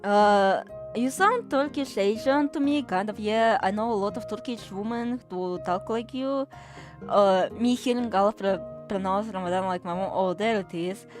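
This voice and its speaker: A woman's voice: mid-range voice, moderate pace, over music. A woman from Russia with a Russian accent streams a game and chats casually, rambling, swearing freely and reading chat aloud. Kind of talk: casual gaming livestream chat.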